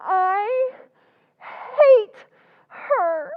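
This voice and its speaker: A woman's voice: very high voice, lively intonation, drawn-out words, exaggerated voice. Woman playing a tearful, fragile young sister. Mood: distraught. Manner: tearful, pleading, self-reproaching. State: crying. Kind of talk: old-time radio drama recreation. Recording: tinny phone-like lo-fi sound.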